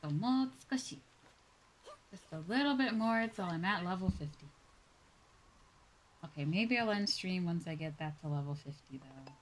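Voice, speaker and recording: Low-pitched woman streaming games casually. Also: animated, normal speed, pauses often, low-quality recording. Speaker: livestreamer. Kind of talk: casual game-stream chat. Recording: music behind. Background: game music.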